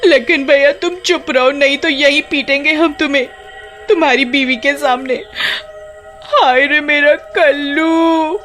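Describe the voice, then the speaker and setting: A woman playing a melodramatic, quarrelsome village mother in an animated story. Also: sits high, measured pace, soft background music. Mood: angry.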